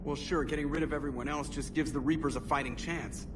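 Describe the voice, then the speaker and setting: An actor playing a wisecracking male ship pilot who talks in a quick, wry, sarcastic way. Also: fairly high pitch, fairly even intonation, recorded from a distance, noisy background, natural voice.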